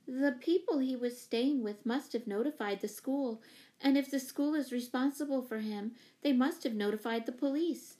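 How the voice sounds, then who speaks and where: A woman voicing a sensible, kindly mother in a children's storybook, serious, measured and firm. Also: sits high, warm tone, pitched above the performer's own voice, lightly acted. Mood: troubled, serious.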